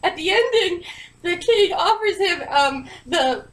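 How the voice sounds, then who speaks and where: A woman co-hosting a puppet review with excitable, sarcastic comedic banter. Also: extremely high pitch, measured pace. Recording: roomy, echoing home recording.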